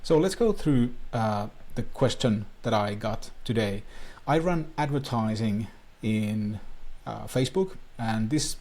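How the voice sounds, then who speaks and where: A man's voice: on the low side, animated, a little slow, hardly any pauses, a little mellow. A man from Finland, a native Finnish speaker, coaching viewers on online marketing in an earnest talking-head explainer with plain, slightly non-native phrasing.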